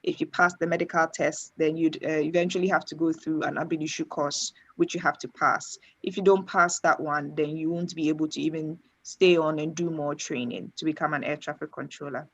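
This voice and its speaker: Woman, expert panellist, lower-pitched, hesitant explanatory delivery, dull recording. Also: steady tone, a little fast, few pauses. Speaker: air traffic controller answering questions.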